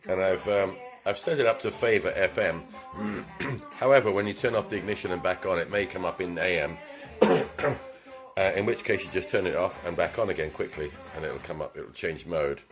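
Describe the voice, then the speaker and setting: Low-voiced man demonstrating a radio. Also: normal speed. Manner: meandering, frequent ums and throat clearing. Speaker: vintage car radio repair technician. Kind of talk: casual hands-on product demonstration. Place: small repair shop. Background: car radio playing music.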